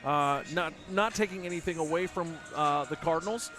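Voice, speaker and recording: A man with a fairly high voice hosts a small-town basketball broadcast, talking casually and filling pauses with "uh." Music runs clearly in the background. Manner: chatty, many fillers. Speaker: play-by-play broadcaster. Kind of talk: local sports broadcast.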